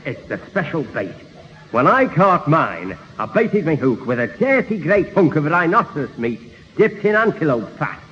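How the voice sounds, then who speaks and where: An actor playing a jovial, blustering neighbour who tells boastful tall tales pompously in a 1970s radio sitcom. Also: male voice, on the high side, sing-song, moderate pace, a bit bright. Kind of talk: 1970s radio sitcom acting before an audience. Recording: muffled old radio hall recording, hiss.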